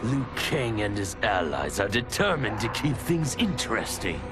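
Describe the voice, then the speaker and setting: A gloating villain, wildly swooping voice. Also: male voice, on the low side, very animated. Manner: theatrical, drawn-out, menacing. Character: gloating, sadistic male villain, grandiose and patient. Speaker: game character voice actor. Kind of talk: theatrical video game villain acting. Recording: music behind the voice.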